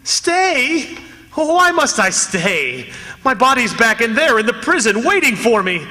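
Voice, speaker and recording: An actor playing a wronged prisoner's spirit in a radio drama, insistent, accusing and indignant. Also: male voice, very high-pitched, big pitch swings, unhurried, bright, forceful tone, big-room sound, heavily acted. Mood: bewildered, indignant. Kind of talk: old-time radio theatre acting.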